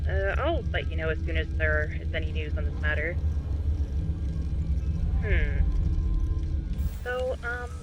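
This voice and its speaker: A man's voice: sits high, close to an everyday voice. A man acting a chatty, reassuring night-guard colleague leaving a casual, hesitant recorded message in a horror game. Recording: very noisy taped message.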